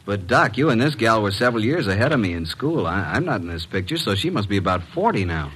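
A male actor playing an unflappable, wisecracking detective's sidekick of about forty in an old radio drama, brisk and wry. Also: lowish voice, big pitch swings, fairly bright tone, slightly rough, heavily acted, rough recording. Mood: businesslike. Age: middle-aged.

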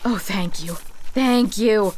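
A woman's voice: mid-range voice, takes it slowly, a little mellow, a little hiss or hum, lightly acted. A woman voicing a snarky, long-suffering small twig boy in a fantasy comedy audio drama, sarcastic and exasperated.

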